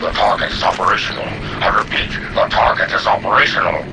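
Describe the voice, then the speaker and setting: A man's voice: low-pitched, steady tone, fast talker, creaky, noisy background. A man playing a dutiful alien military scout in a video game, giving clipped reports. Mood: tense reporting. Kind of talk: scripted game cutscene acting.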